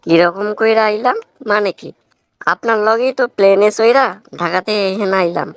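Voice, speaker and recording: A woman's voice: medium pitch, moderate pace, noisy background, lightly acted. A woman voicing an earnest, talkative young village student in a cartoon comedy, speaking in a rustic Barisal accent with blunt, moralizing manners. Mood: defensive. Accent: Barisal Bangla. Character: earnest, plain-spoken young village man, scholarship student.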